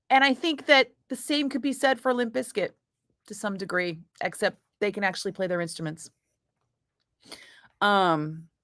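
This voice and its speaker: A middle-aged woman radio DJ chatting casually with viewers between breaks, profane and quick to laugh. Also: lowish voice, dry recording, studio quality. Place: radio studio.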